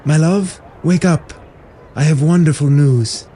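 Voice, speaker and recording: Man acting a fervent, high-pitched desperate fantasy king. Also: unhurried, slight background noise, lightly acted. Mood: feverish joy. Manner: fervent, loud, urgent. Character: unstable, desperate young king devoted to dying wife. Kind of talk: fantasy audio drama acting.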